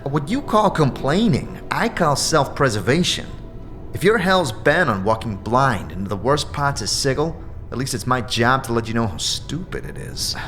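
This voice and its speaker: A man's voice: mid-range voice, big pitch swings, moderate pace, fairly bright tone, pitched above the performer's own voice. A man voicing an anxious, sarcastic floating skull who complains and stammers in a fantasy audio drama, with music behind. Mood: sarcastic grumbling.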